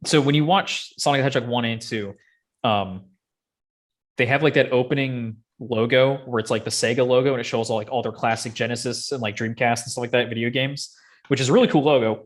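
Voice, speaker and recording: A man's voice: mid-range voice, fairly quick. Man chatting casually, slangy and enthusiastic. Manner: slangy, enthusiastic fan talk. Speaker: podcast co-host. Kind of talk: casual chat about video game movies.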